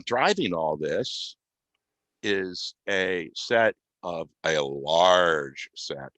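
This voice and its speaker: A man giving an unscripted software demonstration as a guest, explaining step by step with halting, mid-phrase pauses. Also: low voice, no echo. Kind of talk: unscripted software demo walkthrough.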